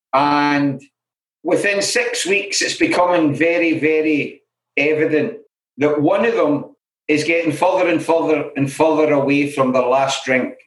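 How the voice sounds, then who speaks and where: A middle-aged man from Glasgow with a Glaswegian Scottish accent, speaking as an interview guest and telling his own stories frankly, with many "you know" asides. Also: on the high side. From Scotland. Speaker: interview guest in alcohol recovery. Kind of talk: candid conversational storytelling. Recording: roomy echoey room.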